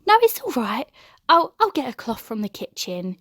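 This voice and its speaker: A woman's voice: a little high, sing-song, moderate pace, a bit bright, dry recording, clean studio sound, exaggerated voice. A woman voicing a flustered, kindly, easily exasperated father in a children's storybook, with an exclamatory, protesting manner. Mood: alarmed.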